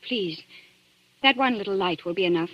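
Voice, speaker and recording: A woman's voice: mid-range voice, expressive, normal speed, dry recording, lightly acted. An actress playing an anxious, desperate young lady in a 1940s radio drama, well-spoken, hesitant and pleading. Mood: nervous, pleading. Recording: dull, dry old radio recording.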